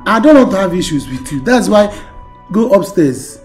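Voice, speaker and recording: A stubborn Nigerian suitor with a fairly high voice. He refuses insistently and repeats himself, over background music in a film drama. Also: sing-song, normal speed, darkish tone. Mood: firm refusal.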